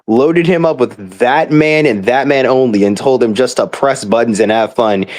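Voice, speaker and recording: A man with a mid-pitched voice rambles through a casual gaming rant. He sounds exasperated and emphatic, dropping swear words freely as he goes. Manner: rambling, profane.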